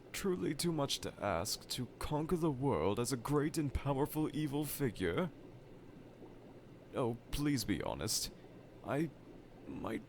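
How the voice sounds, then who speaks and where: Evil scientist for audio roleplay: vain, needy, melodramatic, very animated intonation, unhurried delivery, light lab ambience behind. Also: male voice, mid-range voice, a little hiss or hum. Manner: pompous, formal, melodramatic diction. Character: dejected middle-aged male evil scientist, theatrical, vain, needy. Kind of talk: fan audio roleplay monologue addressed to listener. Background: laboratory ambience.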